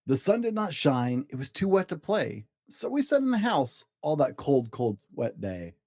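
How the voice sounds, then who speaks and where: A man hosting and narrating a children's storybook with expressive, deliberate, dramatic rhyming delivery. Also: on the high side, no room sound. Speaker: host and storybook reader.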